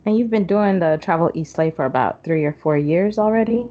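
A woman's voice: lowish voice, fairly quick, warm tone, highs cut off. A woman hosting a podcast, asking her guest questions in a conversational way. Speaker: podcast host, interviewer. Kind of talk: conversational interviewing.

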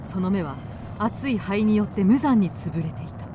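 Woman narrator over clear music. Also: medium pitch, lively intonation, normal speed. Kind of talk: dramatic scripted narration.

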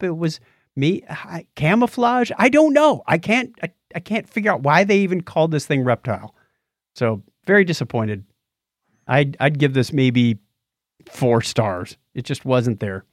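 A man speaking as a podcast co-host, giving an unscripted review in a halting, conversational way that often restarts phrases. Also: sits high, no echo, clean studio sound. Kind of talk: casual unscripted review chat.

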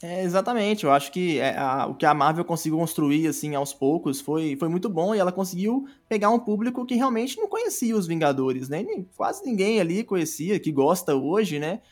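Um homem com sotaque brasileiro conversando informalmente num podcast, como convidado e criador de audiodrama. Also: male voice, sits high, big pitch swings, fairly quick, few pauses. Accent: Brazilian. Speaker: podcast guest, audio drama creator. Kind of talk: casual podcast chat. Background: music.

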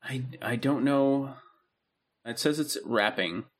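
A man's voice: medium pitch, slow pace. A young man co-hosting a podcast, chatting in a laid-back, rambling way with plenty of ums. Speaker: podcast co-host. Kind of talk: casual chat.

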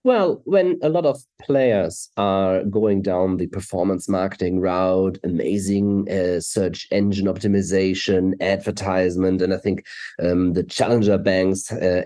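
Male expert guest, German accent, low mellow voice, conversational interview delivery. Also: a little slow.

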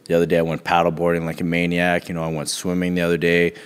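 Raspy low-voiced man, laid-back. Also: monotone, fast, long reverb tail. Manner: laid-back, rambling, says "man" a lot. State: sore throat from being choked. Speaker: podcast co-host. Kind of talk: casual chat.

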